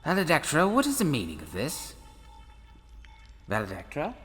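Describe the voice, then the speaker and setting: A man as an intense, bright-voiced space professor. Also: on the high side, huge pitch swings. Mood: baffled, intrigued. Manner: theatrical, deliberate, emphatic. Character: intense, protective scholarly male professor exploring space. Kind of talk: sci-fi audio drama acting. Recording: long hall reverb, faint music behind.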